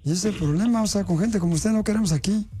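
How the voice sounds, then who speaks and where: A man's voice: high voice, quick pace, dark tone, husky. A male radio host, posing as a government official in a prank phone call, teasing and mock-stern.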